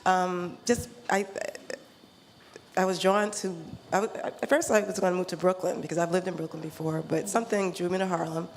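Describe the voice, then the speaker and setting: A woman from New York speaking as a panelist in a casual, halting, informal way. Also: on the low side, steady tone, slight background noise. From New York, United States. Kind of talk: casual anecdotal panel chat. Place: live panel event in a room. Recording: live room with audible crowd.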